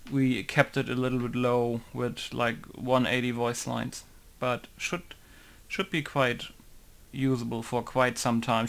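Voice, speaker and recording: Young male modder, smooth clear voice, loose rambling delivery with lots of ums, casual screen-recording commentary. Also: mid-range voice. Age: young adult. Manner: rambling, many fillers and self-corrections. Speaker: game modder.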